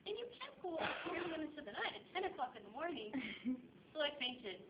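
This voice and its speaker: A woman's voice: sing-song, a little fast, reverberant. A woman performing a comic stage monologue as a chatty, flighty, very young divorcee with dramatic, gushing delivery. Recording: dull, noisy amateur recording with low rumble.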